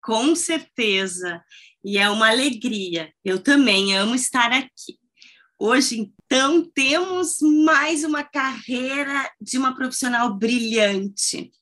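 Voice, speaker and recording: High, bright-voiced woman, slow and welcoming. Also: expressive. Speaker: podcast host. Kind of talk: podcast hosting.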